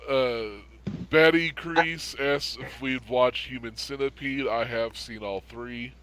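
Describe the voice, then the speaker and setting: A man's voice: mid-range voice, fairly even intonation, slow pace, a bit bright, clear, resonant tone. A man co-hosting a casual online pop culture chat show, laid-back and wry. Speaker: podcast co-host.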